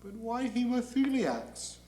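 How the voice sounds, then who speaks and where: A man's voice: very high voice, big pitch swings, unhurried, a little mellow, pitched above the performer's own voice, full character voice. A man voicing a meek, polite male angel who questions hesitantly and mildly, in a satirical stage monologue. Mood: meek, doubtful. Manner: hesitant, mild, deferential questioning. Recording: echoey room.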